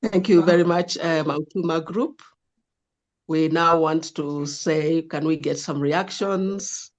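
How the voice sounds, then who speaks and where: A woman's voice: deep voice, slow. A woman moderating an online class, speaking in a directive, instructive way as a lecturer. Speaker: lecturer moderating student presentations.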